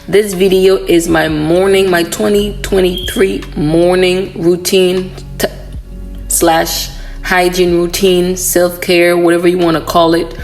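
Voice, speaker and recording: A woman vlogger talks through her morning routine in a voiceover. Her voice is hoarse from a cold and sits low with a gravelly edge. Soft music plays faintly behind her. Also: slow pace. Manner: relaxed, chatty, many "um" fillers.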